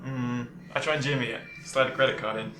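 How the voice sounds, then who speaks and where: A man's voice: mid-range voice, steady tone, quick pace, a crowd in the background. A man, joking casually as a player in a tabletop game. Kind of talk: casual banter. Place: domestic dining room, indoors. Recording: echoey room, clear background noise.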